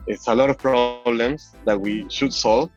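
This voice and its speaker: A man's voice: mid-range voice, fairly even intonation, unhurried. A man from Venezuela with a Venezuelan Spanish accent, chatting casually and rambling as an interview guest, peppering his talk with filler phrases. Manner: rambling, heavy use of "like" and "you know". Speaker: interview guest, crypto app designer. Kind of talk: casual chat. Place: online call. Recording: dull lo-fi call audio, music behind.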